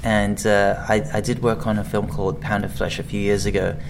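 A man's voice: lowish voice, flat delivery, brisk, creaky, noisy background. A man, an actor, chatting casually in an interview, rambling with many restarts and fillers. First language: English. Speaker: actor guest.

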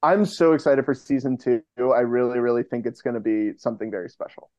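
A man chatting informally and a little haltingly as a guest in a casual podcast interview. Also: medium pitch, a little mellow, no room sound, lo-fi sound. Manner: informal, halting, hedging with many "like"s. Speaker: podcast guest, co-creator of a fiction podcast.

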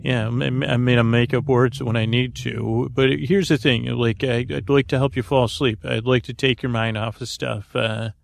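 A man with a creaky voice hosts a bedtime podcast, rambling soothingly and hesitantly, often correcting himself as he meanders. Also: mid-range voice, steady tone, normal speed, hardly any pauses. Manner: meandering, hesitant, lulling delivery with self-corrections. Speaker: podcast host.

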